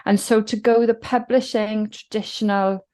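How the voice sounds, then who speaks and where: A woman's voice: mid-range voice, steady tone, normal speed, dark tone. A woman from South Wales with a Welsh accent, a guest talking reflectively in a podcast interview. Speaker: guest author.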